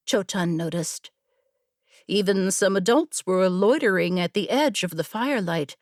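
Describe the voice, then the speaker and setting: A woman's voice: mid-range voice, no room sound, studio quality. A woman narrating scripted fiction as a dramatic audiobook reader.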